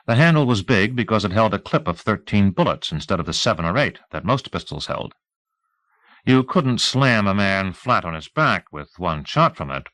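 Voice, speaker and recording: Guy reading an audiobook novel, lowish voice, measured n clear, dry sound. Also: fairly bright tone, no room sound. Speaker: audiobook narrator. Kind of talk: scripted novel narration.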